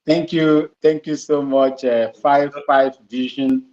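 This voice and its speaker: A man talking casually as a guest on a live stream, halting and restarting, with short laughs between phrases. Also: medium pitch, steady tone, a little mellow, highs cut off. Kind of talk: casual live call-in talk. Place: Ghana.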